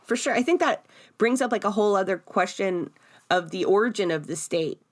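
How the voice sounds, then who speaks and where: A woman's voice: medium pitch, expressive, brisk. A Canadian woman hosting a podcast, speaking in a conversational political discussion. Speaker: podcast host.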